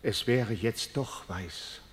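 Slow, troubled old elder. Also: male voice, lowish voice. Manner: formal declamation. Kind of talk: stage-like verse radio drama. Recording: long hall reverb.

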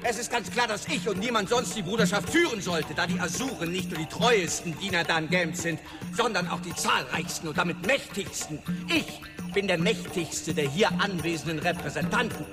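A man plays a haughty fantasy warlord, boastful and indignant, with a high voice that rings through a long reverberant hall. He declaims pompously over loud radio drama music. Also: normal speed, close to an everyday voice. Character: haughty, boastful male Asur warlord, indignant and power-hungry.

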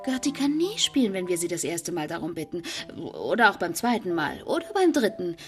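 An actress playing a composed, dry-witted assistant to a playwright in a German radio play. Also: female voice, lowish voice, sing-song, a little fast, soft background music. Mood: breezily unruffled.

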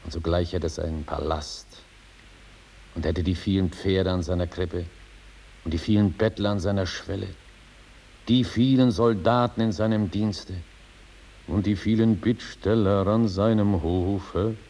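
An actor playing an earthy, sly rogue judge in a 1950s German radio drama, questioning bluntly in colloquial speech. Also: male voice, low voice, steady tone, a little slow, dark tone. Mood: quietly probing.

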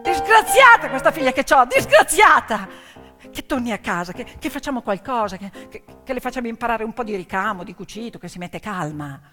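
A woman's voice: fairly high pitch, very animated, a little fast, bright, forceful tone, long reverb tail, faint music behind. A woman voicing an exasperated mother who frets over her unruly daughter, exclaiming and stammering out insistent repetitions. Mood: exasperated, fretting.

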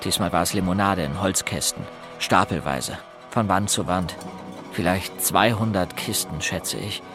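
A man's voice: low voice, a little slow. A man narrating a grim first-person story of a violent home in a German audio drama, in an observant literary style. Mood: grim, restrained. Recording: music behind the voice.

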